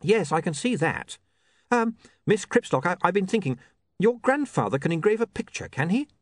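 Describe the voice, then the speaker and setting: A man voicing a mild, earnest young man with a polite, halting manner. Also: high voice, sing-song, dry recording, clean studio sound, pitched above the performer's own voice. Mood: hesitant, earnest.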